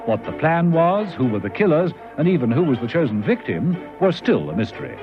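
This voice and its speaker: A man's voice: a little high, big pitch swings, fairly quick. A man narrating a vintage radio serial in a dramatic, scripted style. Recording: dull lo-fi old radio recording. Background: music.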